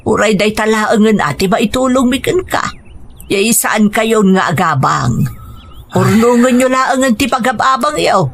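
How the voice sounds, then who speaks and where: A woman's voice: on the high side, expressive, measured pace, slight background noise. A woman acting a persuasive, coaxing mother of a grown son in an Ilocano radio drama. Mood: reassuring.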